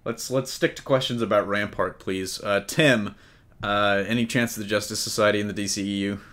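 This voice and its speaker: A man's voice: on the low side, fairly even intonation, moderate pace, fairly bright tone. A man hosting a casual panel chat about comics, with a wry, joking way of talking. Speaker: podcast host.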